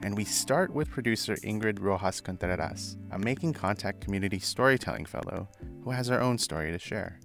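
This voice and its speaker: A radio host with a fairly low male voice tells his story in a measured, reflective way. Music plays clearly beneath his narration, giving it a produced documentary feel. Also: lively intonation, fairly bright tone. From United States. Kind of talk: scripted radio narration and interviewing. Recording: music bed under the voice.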